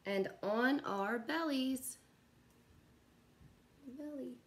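A woman in her thirties reads aloud for small children in a fairly high voice. She takes it very slowly, with a sing-song delivery. There is some steady room noise behind her, as in a living room. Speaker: teacher reading a picture book aloud. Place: living room at home, indoors.

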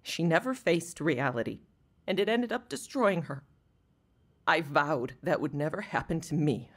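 An actress playing a hurt, resolute wife in a radio drama, husky and firm. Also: female voice, low-pitched, lively intonation, measured pace, heavily acted. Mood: firm, pained. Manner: firm, wounded, intense. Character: hurt, resolute wife ending her marriage.

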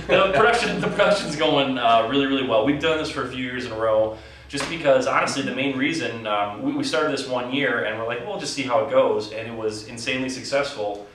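A man's voice: medium pitch, fairly bright tone. An American man in his thirties chatting casually as an interviewee, with a joking, mock-boastful manner. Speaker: actor and writer being interviewed. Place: indoor theatre set. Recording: echoey room, distant mic, faint noise.

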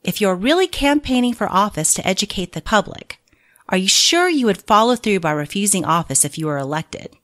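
A woman reading scripted audiobook narration of a political manual in a measured, deliberate, clearly phrased way. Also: mid-range voice, expressive, a bit bright.

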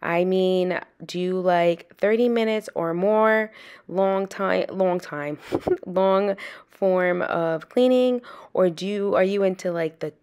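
A woman in her thirties with a slightly low voice rambles casually to her viewers as she dusts a nightstand. She keeps asking them questions in a loose, chatty way, heard through a camera set across a small bedroom. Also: takes it slowly, a little mellow, clear, resonant tone. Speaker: cleaning vlogger. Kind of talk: casual chat to camera while cleaning. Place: small home bedroom, indoors. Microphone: camera on a stand across the room.

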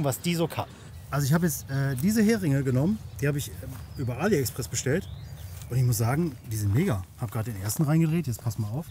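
A man with a fairly high voice chats colloquially for an outdoor camping vlog. He speaks in a joking, informal way to the camera and his companion. The phone recording carries faint outdoor noise. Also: darkish tone. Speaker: camping vlogger. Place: outdoors at a riverside campsite. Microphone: handheld smartphone.

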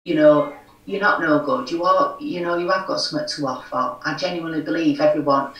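A woman's voice: sits low, fairly quick, highs cut off. Frank woman with broad Yorkshire accent. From Yorkshire, England. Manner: frank, earnest, many "you know" fillers. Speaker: guest, recovery forum chair. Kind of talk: conversational interview. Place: home room. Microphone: video call. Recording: echoey room, far from mic.

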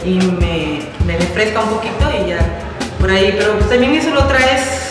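A middle-aged woman with a Latin American Spanish accent, a band singer giving a casual interview, halting as she searches for words. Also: medium pitch, lively intonation. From Mexico and Dominican Republic. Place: indoor studio stage area, seated on sofa. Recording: roomy, distant, loud music behind. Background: loud music.

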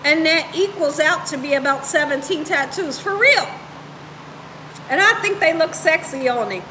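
A woman's voice: high voice, animated, normal speed, pushed and bright, slight background noise, low-quality recording. A woman around her thirties or forties chatting casually and playfully with live viewers as host, repeating phrases for emphasis. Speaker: cooking show host on a livestream. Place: home room, indoors.

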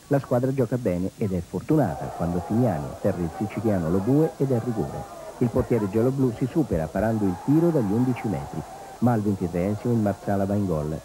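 A man narrating football match highlights from a script as a reporter. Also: low-quality recording.

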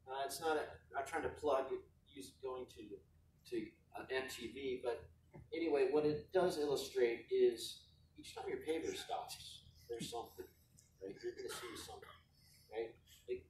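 A man's voice: mid-range voice, a little mellow. Man, conference panel talk, casual rambling delivery, distant mic, faint background hiss. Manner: casual, rambling, fills with "right?". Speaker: equipment manufacturer panelist. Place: conference hall, heard from far off. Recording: echoey distant hall livestream, faint noise.

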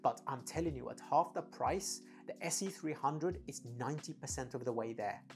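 A woman's voice: a bass voice, normal speed, a little mellow, soft background music. A woman giving a casual, opinionated gear review, speaking emphatically as a reviewer. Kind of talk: casual product review talk.

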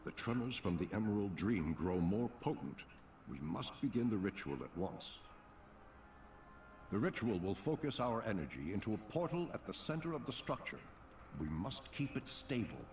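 Sweeping, commanding ancient elf druid. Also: male voice, on the low side, big pitch swings, moderate pace, a little mellow. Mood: urgent command. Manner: solemn, formal, commanding. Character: commanding, ancient male night elf archdruid. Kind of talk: video game character dialogue. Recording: distant, noisy game audio.

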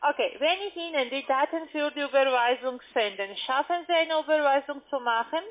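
A female call centre agent speaks in a high voice with a foreign accent. She is a non-native speaker, blunt and insistent, heard through thin phone audio. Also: moderate pace. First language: not German. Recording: tinny phone line.

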